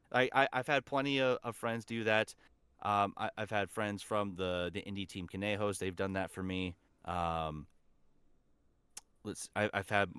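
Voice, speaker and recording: A man's voice: fairly low pitch, a bit bright, clear, resonant tone, no echo. A man talking as a guest voice actor in a casual podcast chat, with an enthusiastic, informal way of speaking.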